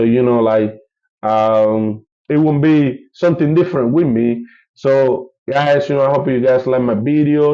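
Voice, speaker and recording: A man's voice: medium pitch, mellow, muffled recording. Man with a Colombian Spanish accent, rambling. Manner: rambling, many fillers and restarts. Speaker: travel vlogger. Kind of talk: casual vlog update.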